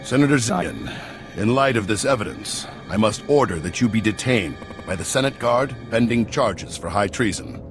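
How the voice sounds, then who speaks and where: An actor playing an even-handed senate chairman, courteous and official, in a video game. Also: mid-range voice, normal speed, lightly acted. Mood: grave. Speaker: chair of a hearing. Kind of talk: video game voice acting, formal proceedings. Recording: music behind the voice.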